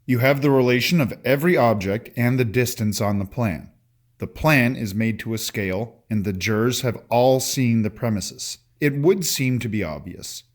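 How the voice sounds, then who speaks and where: A man's voice: medium pitch, moderate pace, natural voice. A man playing a formal, authoritative chief justice in a dramatic reading of an 1890s trial. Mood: judicial. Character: measured, authoritative male chief justice presiding.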